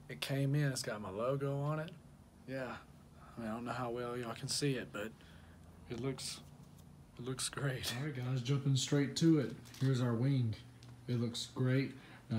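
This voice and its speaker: A man's voice: medium pitch, a little hiss or hum. A man hosting his own channel, talking viewers through a hands-on car job in a laid-back, chatty way with lots of "um" fillers. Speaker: car-modding channel host. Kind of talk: casual how-to walkthrough.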